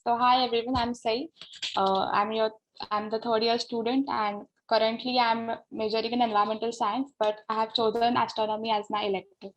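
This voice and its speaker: A woman's voice: a little high, flat delivery, a little fast, rough recording. A young woman, a student introducing herself evenly and formally. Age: young adult.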